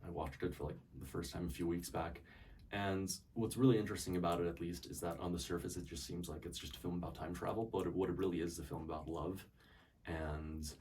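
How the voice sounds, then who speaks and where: A young man answering interview questions in a thoughtful way, often pausing to search for words. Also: sits low, a little mellow, slight background noise. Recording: voice picked up from a distance.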